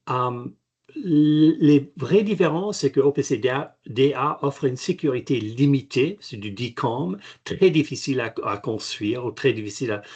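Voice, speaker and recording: Husky halting man explaining tech. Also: medium pitch, a little mellow, dull audio. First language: French. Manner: halting, frequent restarts and fillers. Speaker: company chairman, guest expert. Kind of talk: hesitant technical webinar answers. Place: video call.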